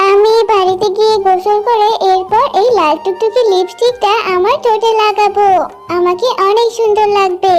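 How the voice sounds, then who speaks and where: A woman's voice: extremely high pitch, a little mellow. A woman voicing a sweet, childlike young fox girl in a children's cartoon, earnest and pleading. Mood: eager, happy.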